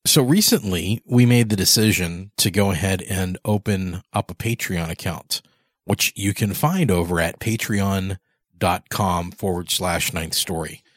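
A man's voice: low-pitched, a little slow, no echo, studio quality. A man hosting a podcast in a conversational, reflective way, often rephrasing as he goes. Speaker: podcast host interviewer. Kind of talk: conversational interview and host talk.

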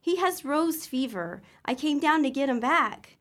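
A woman plays a sweet young sweetheart in an old-time radio comedy. Her high voice moves freely up and down, with a gentle, pleading earnestness. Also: animated, a little slow. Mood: affectionate.

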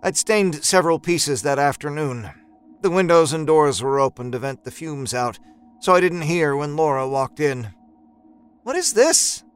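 A male storyteller reads horror fiction in the first person. His voice is somewhat high for a man and very clean and resonant. He speaks deliberately, with articulate, dramatic phrasing. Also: clear, resonant tone.

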